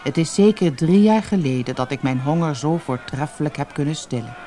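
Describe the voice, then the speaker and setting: A storybook narrator voicing a mysterious gaunt man, grave, courteous and formal, with a deep hollow voice. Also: a little fast, warm tone, natural voice. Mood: solemn, courteous. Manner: formal, solemn, few words. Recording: noisy old cassette audiobook transfer.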